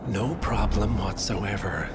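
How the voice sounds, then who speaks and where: An actor playing a tense secretary in a video game, speaking in a hushed, strained way. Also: low voice, slow, over loud music. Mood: strained, defiant. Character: slow, tense secretary defending own loyalty.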